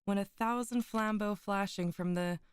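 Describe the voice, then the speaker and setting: A young woman streamer, a little hoarse, chatting with viewers and then reading classic prose aloud with giggles and small stumbles. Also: medium pitch, normal speed, no echo, clean studio sound. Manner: giggly, chatty, stumbles over foreign words. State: slightly rough, hoarse voice. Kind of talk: casual chat and literary reading aloud.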